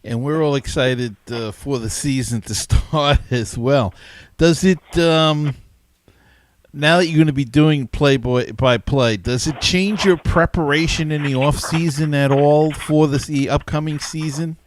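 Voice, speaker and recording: A man hosting a casual sports interview, forming his questions a little haltingly. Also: a little high, a little hiss or hum. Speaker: podcast host, interviewer.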